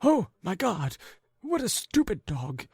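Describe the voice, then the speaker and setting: A man's voice: high voice, sing-song, slow, creaky, darkish tone, husky, dry recording, clean studio sound, voice pushed up, full character voice. A man reading an audiobook, voicing a timid, cowardly man who frets and whines. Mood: fretful. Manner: whiny, fretful complaining. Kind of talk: audiobook character voice.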